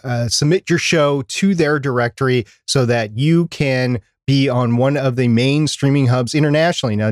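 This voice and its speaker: A man's voice: mid-range voice, no room sound, clean studio sound. A man co-hosting a podcast, explaining things in a conversational way. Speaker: podcast co-host.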